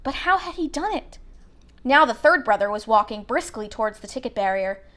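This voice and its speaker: A woman reads a story aloud as narrator. Her voice sits high, and she tells it expressively in a storybook style. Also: lively intonation, brisk, fairly bright tone.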